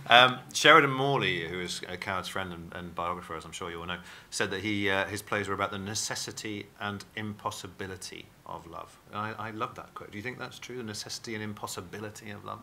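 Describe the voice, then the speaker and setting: A man's voice: lowish voice, fast talker, gravelly, few pauses, pushed and bright, slight background noise. A man hosting a stage panel, asking inquisitive questions. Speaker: host. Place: dark theatre stage, panel.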